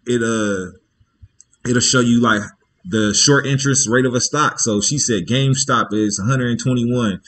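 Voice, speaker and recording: A man's voice: medium pitch, bright, forceful tone. A man hosting a casual livestream, talking in a loose, slangy way, rambling and checking in with his listeners as he goes. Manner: loose and slangy, with frequent "you feel me" check-ins; rambling, thinking aloud with restarts. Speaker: livestream host.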